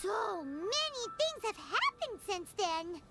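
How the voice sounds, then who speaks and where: Super high squeaky little fairy sidekick from an anime game, chirpy, swooping all over. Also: female voice, sing-song, slow, creaky, heavily acted. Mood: reflective, upbeat. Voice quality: squeaky, childlike. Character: tiny childlike floating fairy companion, chirpy and curious. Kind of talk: anime game character dialogue. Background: game music.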